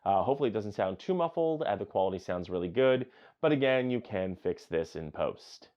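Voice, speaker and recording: A man with a deep booming voice giving a chatty, enthusiastic camera gear review, recorded on a changing mix of camera, lapel and wireless mics. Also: expressive, brisk, muffled recording. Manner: enthusiastic, chatty with many fillers. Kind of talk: casual product review. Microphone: changing mics: built-in camera mic, lapel and wireless mic.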